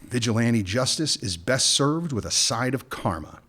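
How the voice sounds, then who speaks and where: A man's voice: mid-range voice, expressive, moderate pace, fairly bright tone. A man playing a wry, bargaining gun runner. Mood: scheming. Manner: wry, bargaining, dry jokes. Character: smooth-talking male gun runner. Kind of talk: radio play acting.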